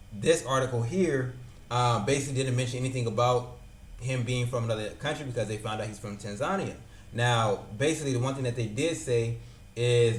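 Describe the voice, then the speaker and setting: A young man casually explaining a news event as a vlogger, talking loosely with many fillers and repeated words. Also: medium pitch. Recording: echoey room with faint ambience.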